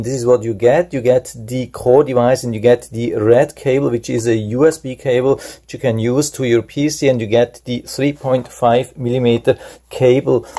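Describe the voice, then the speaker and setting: A man's voice: medium pitch, mellow. A man giving an unscripted product review and tutorial, explaining things plainly step by step.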